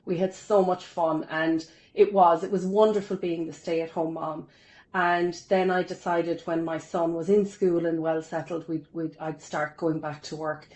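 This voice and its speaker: A woman's voice: on the low side, darkish tone, low-quality recording. A woman, telling her life story as a podcast guest in a chatty, upbeat way with self-deprecating humour. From Ireland. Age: 40s. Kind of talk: casual interview storytelling.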